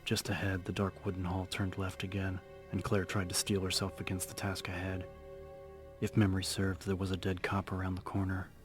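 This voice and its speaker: A man narrating a horror audiobook in a dramatic scripted style, with measured, suspenseful storytelling. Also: sits low, completely flat delivery. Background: music.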